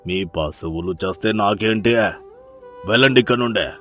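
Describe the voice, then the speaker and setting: A man plays a domineering village landlord with a very low voice. He is imperious and menacing, scolding those beneath him in a moral-story cartoon, with music underneath. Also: normal speed, sharp tone. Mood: angry, dismissive. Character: harsh, haughty male landlord obsessed with his garden.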